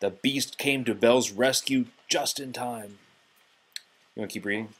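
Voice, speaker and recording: A man's voice: medium pitch, moderate pace, fairly bright tone, breathy edge. A man reading a children's storybook aloud to a child, expressively, with the odd prompting question. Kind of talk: children's read-aloud narration.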